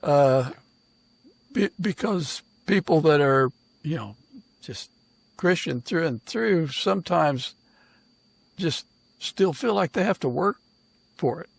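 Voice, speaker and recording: A man hosting a casual interview podcast, speaking in an informal, halting way. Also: on the high side, expressive, creaky, slightly rough, no room sound. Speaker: podcast host and author.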